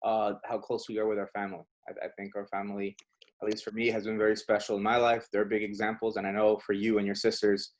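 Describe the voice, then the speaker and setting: A man's voice: lowish voice, monotone, fast. A man hosting an interview in a loose, friendly way, calling his guest bro and man. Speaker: host. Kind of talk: casual interview chat.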